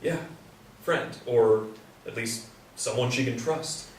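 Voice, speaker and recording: A man's voice: medium pitch, slow pace, lightly acted. A man acting earnest carpenter in a very echoey room. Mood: guarded, challenging. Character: Thomas, sensitive, earnest male carpenter, defends others. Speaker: actor in a staged play reading. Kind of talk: live stage play reading. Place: echoey indoor stage or hall. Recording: very echoey room, distant mic, faint hiss.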